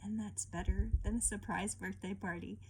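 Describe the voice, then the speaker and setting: A woman reading a picture book aloud and teaching children informally, expressive and often turning to the listener with questions. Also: medium pitch, normal speed, a little hiss or hum, poor audio.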